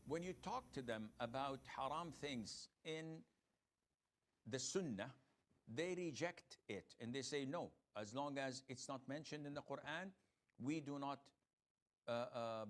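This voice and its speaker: A man's voice: mid-range voice, lively intonation, measured pace. A man giving a stern religious lecture in English, played as a clip.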